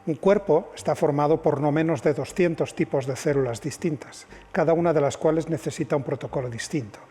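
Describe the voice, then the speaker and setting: A man explains his field as a scientist guest on a panel, repeating key points for emphasis. His voice is husky and pitched a little high. Long hall reverb follows each phrase. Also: a little fast, warm tone. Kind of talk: panel discussion.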